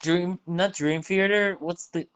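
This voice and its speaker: A man's voice: high-pitched, fairly even intonation, a little slow, fairly bright tone, clean tone. A man playing in a tabletop game, chatting casually and hesitating while he searches for words. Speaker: player.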